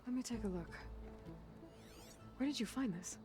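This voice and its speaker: A woman's voice: fairly high pitch, moderate pace, over music, close to an everyday voice. An actress playing a capable, inquisitive young woman wanderer in a video game, speaking in a matter-of-fact way. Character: capable, inquisitive young female wanderer and tech-savvy outlander.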